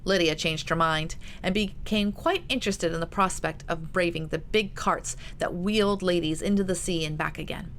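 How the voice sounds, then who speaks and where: A woman's voice: fairly low pitch, moderate pace, few pauses, fairly bright tone. A woman author reading her own historical novel aloud in an audiobook narration style. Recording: noticeable background noise.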